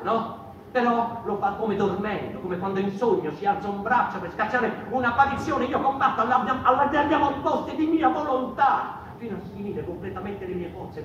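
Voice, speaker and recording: A woman's voice: medium pitch, dull audio, lightly acted. A woman performing an insistent, impassioned theatrical monologue as a defiant figure from a mouse folk. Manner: insistent, repeating phrases. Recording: echoey, distant, noisy room recording.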